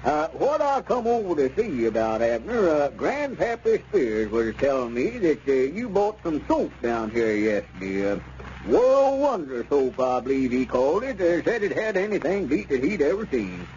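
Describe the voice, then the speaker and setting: Male wheedling squire, highish voice, very animated, roundabout salesman, old radio comedy. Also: normal speed. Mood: genial. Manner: hesitant, roundabout, unctuous. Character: wheedling small-town squire, sly real-estate dealer. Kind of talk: 1930s radio comedy acting. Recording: dull, noisy old radio recording.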